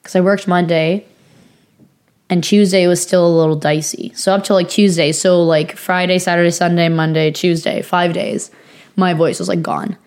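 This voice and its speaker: Young woman co-host, raspy and sniffly from a lingering cold, chatty, rambling delivery full of "like.". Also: fairly low pitch, steady tone, normal speed, darkish tone. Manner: rambling storytelling with many fillers. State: hoarse, recovering from lost voice; sniffly from sinus infection. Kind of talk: casual chat.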